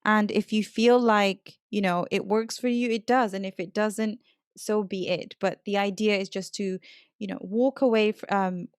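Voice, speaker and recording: A woman hosts a casual interview podcast in a clear, resonant voice of medium pitch. The recording is dry, with very little room around her. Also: steady tone, moderate pace, dry recording. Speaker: podcast host and English teacher.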